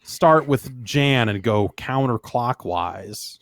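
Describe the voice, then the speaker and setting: A man's voice: mid-range voice, lively intonation, takes it slowly, dry recording. A man hosting a podcast in casual chat, rambling loosely with plenty of fillers and restarts. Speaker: podcast host. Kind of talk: casual chat, reading listener emails.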